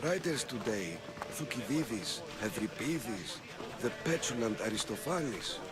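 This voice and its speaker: An actor playing a nervous, pious old temple priest in a video game, hesitant and evasive in manner. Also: male voice, mid-range voice, very animated, over music. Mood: reverent storytelling. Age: older. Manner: hesitant, halting, secretive. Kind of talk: video game dramatic dialogue.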